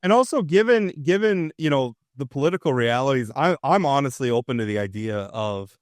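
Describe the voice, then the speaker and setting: A man's voice: medium pitch, lively intonation, a little fast, no room sound, clean studio sound. A man co-hosting a political talk show, opinionated and emphatic, often restarting his sentences. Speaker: co-host.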